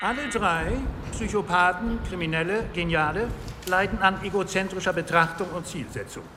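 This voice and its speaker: Male professor, radio play: high voice, stern measured lecturing, echoing hall, faint noise. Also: sharp tone. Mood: pedantic, stern.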